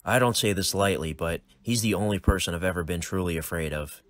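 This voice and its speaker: Guy doing a calm older mentor type, measured and lowish. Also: no room sound, lightly acted.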